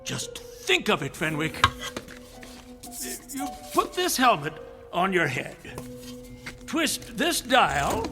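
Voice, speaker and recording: An actor playing a proud, excitable inventor professor with grandiose, deliberate declamation in an old-time radio comedy drama. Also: male voice, high voice, heavily acted. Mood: proud, explanatory. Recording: reverberant radio drama with music behind.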